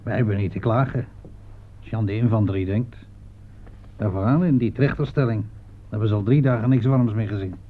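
Tinny, low-voiced easygoing soldier played by a man. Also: fairly quick, pauses often, natural voice. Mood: matter-of-fact. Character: easygoing male soldier playing cards in a dugout. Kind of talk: radio drama dialogue. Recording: tinny, hissy old radio recording.

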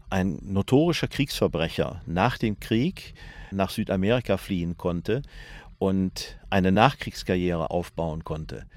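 Male journalist presenting a radio documentary: low voice, dry close sound, deliberate, pausing explanation. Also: darkish tone, no echo. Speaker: journalist and author presenting the programme.

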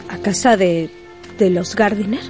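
Earnest young gentlewoman played by an actress. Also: medium pitch, a little slow, faint music behind, natural voice. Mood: flustered, polite. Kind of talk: radio drama acting.

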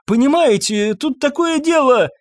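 A man's voice: very high voice, expressive, a little slow, no echo, clean studio sound, voice pushed up. Man acting a polite, timid, fussy doctor. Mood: apologetic. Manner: mumbling, deferential, fussy. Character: pompous, timid, polite male village doctor.

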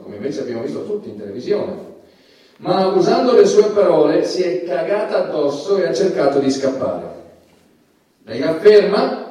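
A man playing a stern, formal judge in a theatrical courtroom drama, speaking deliberately and admonishingly. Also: mid-range voice, dark tone, lightly acted. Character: stern, formal male judge presiding over obscenity trial. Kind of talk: theatrical courtroom stage acting in Italian. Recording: echoey theatre hall, distant microphone.

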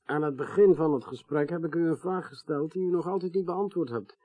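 A man's voice: fairly high pitch, fairly quick, mellow, dry recording, lightly acted. A man playing a stern, persistent investigator in a radio drama, formal, terse and probing.

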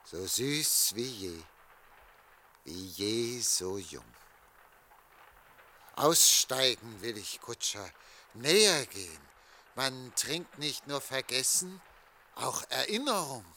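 An actor playing a weary, wistful middle-aged official lost in memories, dreamy and theatrical, in a German radio drama. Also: male voice, mid-range voice, expressive, slow pace, pauses often, sharp tone, a little hiss or hum, full character voice. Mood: entranced.

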